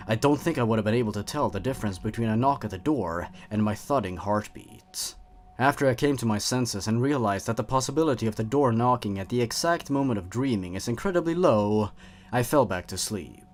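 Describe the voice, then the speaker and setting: A man reads horror fiction as a storyteller, with a voice on the low side. His pitch moves widely as he goes, giving the scripted narration a theatrical, suspenseful feel.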